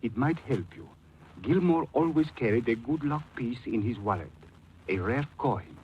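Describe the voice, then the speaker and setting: A man's voice: medium pitch, animated, moderate pace, natural voice. An actor playing a formal, hesitant businessman client, polite and evasive, in 1940s radio drama style. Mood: guarded, earnest. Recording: muffled 1940s radio, light noise.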